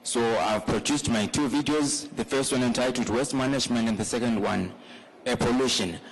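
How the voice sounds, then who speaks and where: A man's voice: medium pitch, moderate pace, low-quality recording. A man with a Zimbabwean accent introducing himself carefully on a panel, with "um" and "so" fillers. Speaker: youth climate ambassador.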